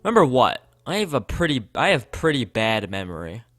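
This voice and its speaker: A man's voice: mid-range voice, big pitch swings, fairly quick, a bit bright, dry recording. Man reading as a vague, forgetful schoolgirl. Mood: puzzled. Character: vague, forgetful schoolgirl, quietly odd and unbothered. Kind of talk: visual novel read-aloud.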